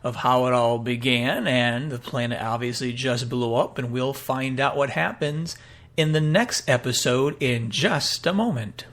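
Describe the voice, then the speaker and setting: A man's voice: medium pitch, unhurried, a bit bright. A man presents a live radio show in a mid-range voice. His delivery is unscripted and rambles loosely from point to point.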